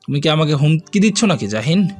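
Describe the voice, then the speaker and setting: A man acts out a stern, uneasy rival politician in a Bangladeshi audio drama. The voice is notably high for a man, stiff and indignant, over light background music. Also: very animated, fast. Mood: affronted. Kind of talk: audio story acting.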